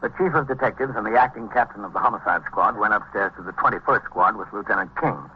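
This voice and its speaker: An actor playing a calm American police lieutenant in a vintage radio procedural, clipped and matter-of-fact. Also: male voice, on the low side, quick pace, breathy edge. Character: calm, dutiful male police lieutenant, also narrating. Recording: dull, noisy vintage radio recording.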